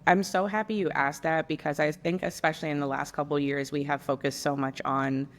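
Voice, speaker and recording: Young woman, Jamaican accent, lively storyteller guest, casual chat. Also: deep voice, brisk, in a large hall. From South Florida, US, raised partly in Jamaica. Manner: animated storyteller, lots of "like".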